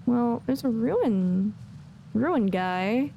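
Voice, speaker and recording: A woman's voice: on the high side, animated, drawn-out words, vocal fry, warm tone, muffled recording, a little hiss or hum. A woman giving casual, chatty live commentary as a game streamer, with playful asides. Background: faint game music.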